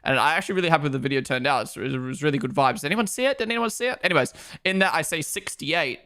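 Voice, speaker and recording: A young man with a hybrid Kiwi-Australian accent, chatting through a live racing watchalong. His voice is bright and clear, fairly high for a man, and he talks loosely with quick asides and sudden loud reactions. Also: expressive. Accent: mixed New Zealand and Australian. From New Zealand. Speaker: streamer hosting a watchalong. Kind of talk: casual live commentary, reading chat.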